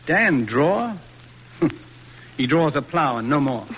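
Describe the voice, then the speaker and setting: A man's voice: fairly high pitch, sing-song, takes it slowly, a bit bright, slightly rough, heavily acted. An actor playing a stern, exasperated father in a formal, emphatic 1940s radio drama style. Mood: exasperated resolve. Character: exasperated stern father disappointed in his son. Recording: noisy old radio broadcast recording.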